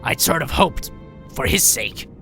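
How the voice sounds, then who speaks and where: A man voicing a gruff, skeptical veteran robot doctor with a gravelly voice in an audio drama. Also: medium pitch, sing-song, a little slow, pushed and bright, soft background music. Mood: probing, grave.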